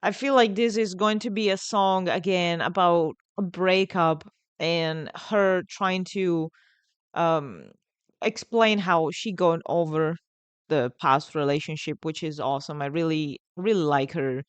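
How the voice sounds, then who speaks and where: A woman with an Italian accent hosts a music reaction in a clean, smooth voice. She speaks casually and with enthusiasm, repeating words as she works out her thoughts. The sound is dry and close. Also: on the low side, dry recording. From Italy. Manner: enthusiastic, halting, repeats words while thinking. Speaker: music reaction video host.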